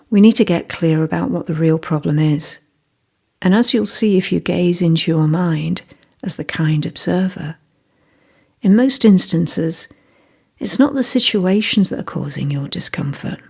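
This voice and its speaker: A meditation teacher narrating a guided meditation in a slow, measured, gently instructive way, with a husky, breathy voice. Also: medium pitch. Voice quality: husky, breathy, with creaky fry.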